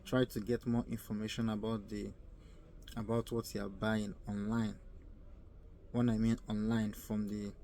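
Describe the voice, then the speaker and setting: A young Nigerian man with a low, mellow voice talks through casual advice, earnest and repetitive, often stopping to hunt for the right words. His pitch stays flat and even, with a faint hum of ambient noise behind him. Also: moderate pace. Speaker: vlogger.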